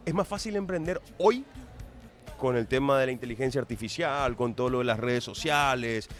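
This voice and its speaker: A man's voice: mid-range voice, moderate pace, soft background music. A man hosting a radio show, introducing his guest with enthusiasm and asking long, wordy questions. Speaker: radio host. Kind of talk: radio interview.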